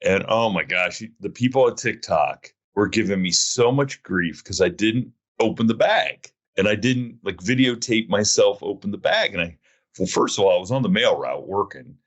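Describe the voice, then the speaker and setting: A man's voice: fairly low pitch, animated, moderate pace, dull audio. A man hosting a podcast, telling a long story in a casual, rambling, self-mocking way. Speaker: podcast host. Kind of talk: casual chat.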